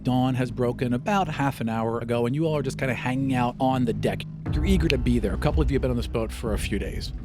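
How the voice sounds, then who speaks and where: A man's voice: medium pitch, quick pace, few pauses, music in the background. A man narrating a tabletop fantasy adventure as game master, in a scripted storytelling style.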